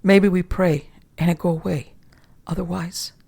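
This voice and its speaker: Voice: mid-range voice, fairly even intonation, a little slow, creaky, darkish tone. A narrator voicing a terrified, big swarthy sailor who stammers and whispers in broken English. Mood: dread.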